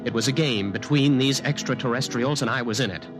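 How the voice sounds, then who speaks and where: A man's voice: medium pitch, fairly quick, loud music behind the voice, natural voice. A man playing a nervous, obsessive young man who narrates his ordeal with agitated intensity in 1950s radio acting. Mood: brooding. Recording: old 1950s radio broadcast.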